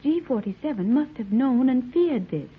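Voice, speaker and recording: Naive literal-minded girl, high swoopy voice, very formal, old tinny radio serial. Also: animated, moderate pace, slightly rough, exaggerated voice. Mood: grave, explaining. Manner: stilted, precise, formal diction without slang. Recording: tinny, band-limited old radio recording.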